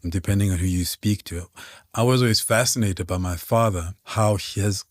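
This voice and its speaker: A man with a South African accent, originally from Ghana, hosting an interview with reflective, measured remarks. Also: fairly low pitch, no room sound, studio quality. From Ghana, raised in South Africa. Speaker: podcast host. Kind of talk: conversational interview.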